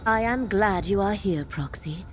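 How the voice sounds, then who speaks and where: An actress playing a solemn, commanding seer in a fantasy video game, speaking in formal, portentous, measured phrases. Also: female voice, medium pitch, slight background noise, natural voice. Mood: grave, urgent. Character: grave mystical seer woman, solemn and commanding.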